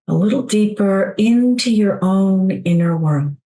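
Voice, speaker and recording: A woman leading a guided meditation in a soothing, gentle, unhurried way, leaving long pauses between phrases. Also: medium pitch, expressive.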